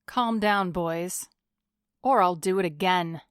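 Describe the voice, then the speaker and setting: A woman's voice: lowish voice, takes it slowly, heavy background noise. A woman acting a cool, commanding, glamorous vigilante in a pulp radio drama, stern and self-assured with clipped delivery.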